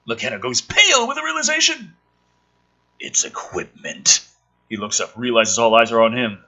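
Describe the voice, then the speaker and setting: Raspy guy, very sharp voice, sarcastic script read-through, swears a lot, hammy on the headings. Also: mid-range voice, very animated, normal speed. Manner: profane, mocking. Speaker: host of a script review show.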